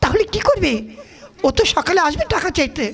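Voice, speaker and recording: Shrill, nagging village wife puppet. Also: male voice, big pitch swings, gravelly, a bit bright, exaggerated voice. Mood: questioning. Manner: shrill, theatrical, drawn-out wheedling and scolding. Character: nagging, greedy village wife puppet, turning sugary-sweet. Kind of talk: comic folk puppet-play acting.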